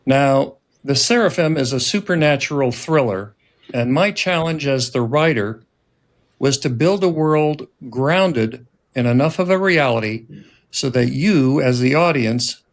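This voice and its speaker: A man presenting a scripted podcast introduction as host and writer-director, formal and measured. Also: medium pitch, expressive.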